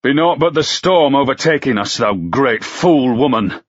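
A man's voice: medium pitch, lively intonation, normal speed, fairly bright tone, highs cut off, pitched above the performer's own voice. A man voicing a blunt, beer-drinking medieval pilgrim who scolds and mocks a companion. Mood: scornful. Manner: scornful, blunt.

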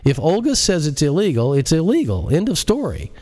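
A man's voice: fairly high pitch, wildly swooping pitch, a little fast, warm tone, voice pushed up. A man voicing a cocky, sardonic computer genius with a mocking, folksy, scolding manner in an audiobook. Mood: scolding, defiant.

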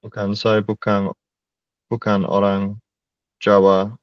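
A young man wearing a headset, a student slowly and carefully repeating phrases in an online language lesson. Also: fairly low pitch, completely flat delivery, smooth, no echo, muffled recording. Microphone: headset on video call.

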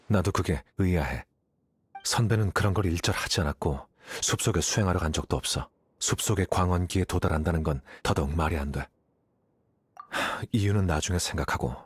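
A man's voice: low-pitched, husky, no echo. A man voicing a cool-headed, analytical young scholar in a Korean game dub, composed and matter-of-fact as he explains. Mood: calm, reasoning. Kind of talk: Korean-dubbed anime game dialogue.

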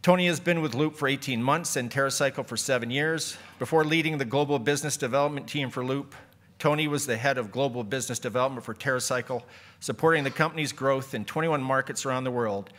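A man's voice: mid-range voice, fast talker, a bit bright. A man moderating a conference, introducing the next speaker from notes and chatting with the audience. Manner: reads from notes, then jokes with the audience. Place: conference hall.

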